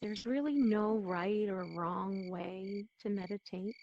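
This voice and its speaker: A woman leading a guided meditation in a soothing, gentle, unhurried manner, with a muffled, dull sound to the recording. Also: medium pitch, a little hiss or hum. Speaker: meditation teacher.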